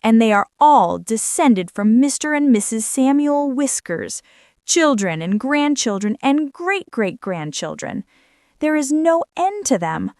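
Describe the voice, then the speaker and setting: A woman narrating a children's bedtime story with measured, expressive, deliberate phrasing. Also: high-pitched, no room sound, clean studio sound. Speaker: storyteller reading a children's book aloud. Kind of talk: scripted storybook narration, bedtime read-aloud.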